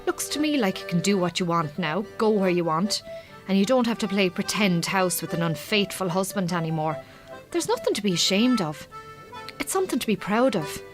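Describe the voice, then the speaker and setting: A woman's voice: medium pitch, lively intonation, a little fast, over music, close to an everyday voice. A woman playing a plain-spoken, supportive Irish farm wife in a radio drama, with an Irish accent and an earnest, colloquial way of talking. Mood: encouraging.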